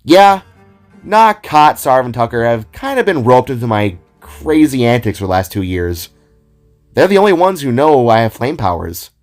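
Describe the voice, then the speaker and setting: Bold young heroine acted by a man, breezy and boastful, animated audio-drama delivery over music. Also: mid-range voice, moderate pace, close to an everyday voice. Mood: casual, confiding. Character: bold excitable young female guitar vigilante with flame powers. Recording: music behind the voice.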